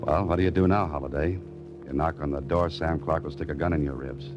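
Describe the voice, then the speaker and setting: An American actor playing a cool, wry amateur detective, talking dryly and tersely in 1940s radio drama style. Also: male voice, very deep voice, steady tone, a little fast, lo-fi sound. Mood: tense, self-coaching. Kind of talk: 1940s radio drama acting with self-narration. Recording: vintage radio drama, music underneath.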